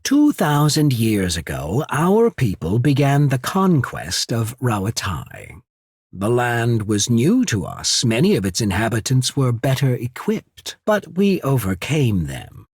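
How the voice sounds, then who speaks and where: A man playing a calculating imperial diplomat in a video game, speaking in a measured, formal, persuasive manner with a husky voice. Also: mid-range voice, wildly swooping pitch, a little mellow, dry recording, clean studio sound, heavily acted. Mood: proud, unyielding. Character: smooth, calculating male diplomat of an imperial trading power. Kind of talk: scripted video game character dialogue.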